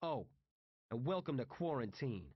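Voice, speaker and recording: A man's voice: mid-range voice, huge pitch swings, a little slow, gravelly, no echo, muffled recording, exaggerated voice. A man voicing a composed, pragmatic male inmate leader in an audiobook, reasoning firmly and pointedly. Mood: practical.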